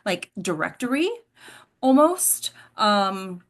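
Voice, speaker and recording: A woman's voice: medium pitch, very animated, takes it slowly. Woman coaching an informal acting workshop. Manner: instructive, checks in with "right?". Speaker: host and acting coach leading a table read discussion.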